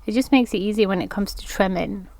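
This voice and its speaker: A woman's voice: medium pitch, quick pace, a little mellow, no room sound. A woman with a congested voice from hay fever, chatting her way through a step-by-step crafting tutorial. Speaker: craft vlogger.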